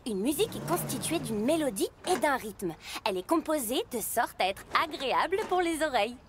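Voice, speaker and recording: A woman's voice: sits high, big pitch swings, a little fast, noisy background. An actor, a woman, playing a cheerful, patient teacher in a children's cartoon, encouraging and instructive. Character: cheerful, patient female teacher guiding young trucks.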